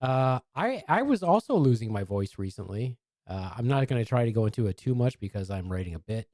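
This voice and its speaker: A man's voice: medium pitch, sing-song, brisk, darkish tone, no echo, clean studio sound. A man hosting a podcast, chatting casually and teasing his co-host in a rambling way.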